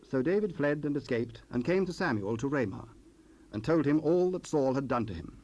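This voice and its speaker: Solemn male scripture narrator, medium pitch. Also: lively intonation, normal speed, a little mellow.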